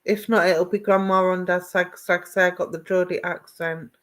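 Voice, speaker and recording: A woman's voice: fairly low pitch, fairly even intonation, brisk. Affectionate woman giving live psychic readings. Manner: affectionate, calls viewers "my darling"; greets and addresses viewers by name, asks if things make sense. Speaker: psychic medium.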